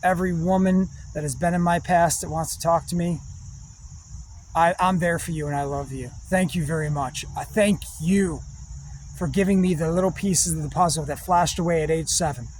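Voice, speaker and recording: Man, rambling spiritual talk, drawn-out pauses, a bit higher-pitched, faint outdoor insect noise. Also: darkish tone, rough recording. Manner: slow, drawn-out, meditative phrasing with long pauses. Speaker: spiritual speaker.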